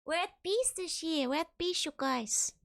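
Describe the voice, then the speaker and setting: A young woman streaming a game, hoarse, giggling and rambling playfully as she chats. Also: very high-pitched, animated, measured pace, fairly bright tone. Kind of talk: casual game stream chat.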